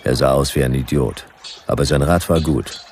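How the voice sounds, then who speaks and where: Very deep, laconic detective narrator. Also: male voice, fairly even intonation, moderate pace, a little mellow, slight background noise. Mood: dry, laconic. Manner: laconic, dry, clipped sentences. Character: laconic hard-boiled male private detective, wryly narrating. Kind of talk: noir radio play first-person narration.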